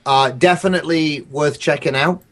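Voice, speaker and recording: A man chatting casually about films as a podcast guest, talking in a halting, hesitant way. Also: fairly high pitch, fairly even intonation, fairly bright tone. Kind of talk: casual film chat.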